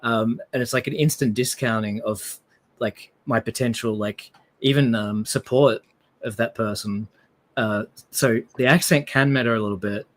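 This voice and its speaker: A man's voice: mid-range voice, normal speed. A man with an Australian accent chats on his live stream. He talks loosely, with frequent fillers and restarts. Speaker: livestream host. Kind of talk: casual live-stream chat.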